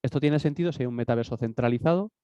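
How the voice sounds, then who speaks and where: A man's voice: mid-range voice, racing, mellow, dry recording, highs cut off. A man with a cold giving an informal business seminar talk from his office desk. He hesitates often, with many fillers and restarts. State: has a cold. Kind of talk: informal presentation.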